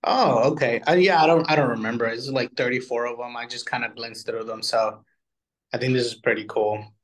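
A man's voice: medium pitch, fairly even intonation, brisk, rough recording. A man co-hosting a podcast, giving his reactions in loose, casual conversation. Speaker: podcast co-host.

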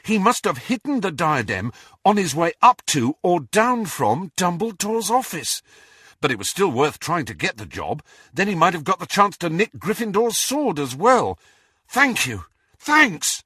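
Young male wizard hero, read by a man: determined, earnest, urgent, bright forceful tone, audiobook drama. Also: fairly high pitch, very animated, normal speed, breathy edge, no echo, studio quality, voice pushed up, full character voice. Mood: urgent realization.

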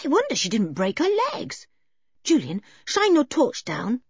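Woman doing a bold, excitable young girl. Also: a little high, unhurried, slightly rough, no echo, highs cut off, voice pushed up, heavily acted. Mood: excited. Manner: eager, exclamatory.

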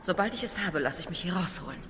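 A woman's voice: fairly low pitch, expressive, fast, fairly bright tone, slight background noise. A woman playing a cool, determined, sardonic female agent in an audio drama, commanding and wry. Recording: long reverb, music behind.

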